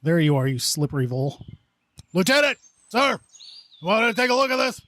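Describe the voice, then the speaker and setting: A man's voice: high-pitched, very animated, a little fast, noisy background. A man playing a duty-obsessed, earnest time-crimes investigator in a theatrical radio drama parody. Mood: intent. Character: gruff, duty-obsessed male time-crimes investigator who scorns leisure.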